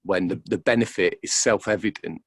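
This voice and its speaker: A man's voice: low voice, fairly quick, husky, dry recording, clean studio sound. A man reflecting in an interview, speaking hesitantly and repeating words as he thinks aloud. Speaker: interviewed actor.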